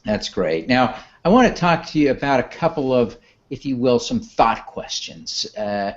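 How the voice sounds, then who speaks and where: A man hosting an interview, asking measured, friendly questions. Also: mid-range voice, very animated. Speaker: interview host. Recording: dull, muffled sound.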